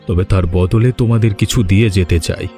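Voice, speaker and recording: A man's voice: on the low side, steady tone, fairly quick, mellow, soft background music, lightly acted. A man voicing a remorseful, humbled rich village landlord in a cartoon, speaking solemnly and confessionally. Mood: humbled, resolute. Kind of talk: Bengali cartoon voice acting.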